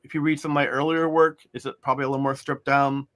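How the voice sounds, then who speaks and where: A man's voice: mid-range voice, level tone, fast, fairly bright tone. A middle-aged man, an author, talking in a loose, rambling way with many restarts as an interview guest. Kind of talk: conversational interview.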